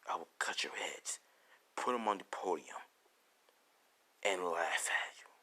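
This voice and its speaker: A man's voice: bright, forceful tone, exaggerated voice. Man acting out a menacing, whispery anime antihero. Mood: cold menace. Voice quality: breathy, half-whispered. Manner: low hissed threats, slow and menacing. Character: ruthless young man, menacing, vengeful, foul-mouthed anime student.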